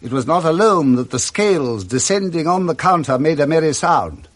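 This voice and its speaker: A man with a British accent giving expressive scripted narration of a classic story in 1960s radio style, relishing each detail. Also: a little high, moderate pace.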